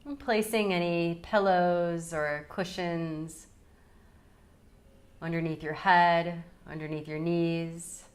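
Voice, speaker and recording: A woman guides a relaxation meditation in a slightly low voice. She speaks very slowly and calmly, leaving long pauses between soothing phrases. Her delivery is unhurried, as a yoga nidra teacher would give it.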